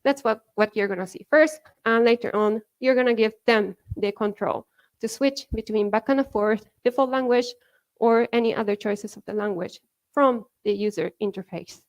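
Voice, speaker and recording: A woman's voice: on the high side, moderate pace. A woman from Japan with a Japanese accent, giving a conference talk in a chatty way full of fillers, laughing now and then. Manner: chatty, many fillers like "you know" and "like", laughs often. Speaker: conference speaker. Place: conference hall.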